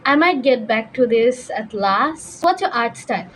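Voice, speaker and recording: A woman's voice: a little high, lively intonation, unhurried, fairly bright tone, slight background noise, low-quality recording. A fifteen-year-old young woman, chatting casually as a YouTuber answering viewer questions. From Bangladesh, raised mostly in Dhaka. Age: teenager, fifteen.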